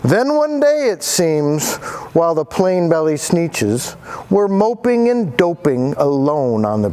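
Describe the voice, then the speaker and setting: A male teacher giving a dramatic read-aloud of a picture book to students. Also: fairly high pitch. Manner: animated, dramatic reading voice.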